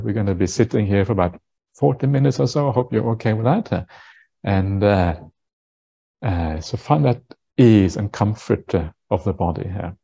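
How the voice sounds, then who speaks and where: A man in his fifties from Norway, a meditation teacher, gently guiding a meditation in an unhurried, halting way with frequent pauses and uhs. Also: lowish voice, gravelly, husky, lo-fi sound. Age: 50s. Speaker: Buddhist monk, meditation teacher. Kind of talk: guided meditation instruction.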